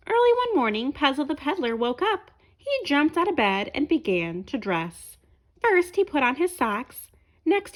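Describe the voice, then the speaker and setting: Female children's storyteller, high voice, very animated delivery, deliberate phrasing. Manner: expressive, deliberate phrasing for young listeners. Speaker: storyteller reading a picture book aloud. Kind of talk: children's read-aloud narration.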